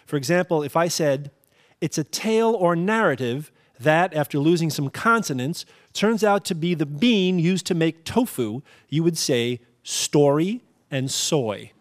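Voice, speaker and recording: A man with a high voice explains game rules as the show's puzzle expert. He takes it slowly and precisely, laying out each step with an example. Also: animated. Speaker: puzzle guru.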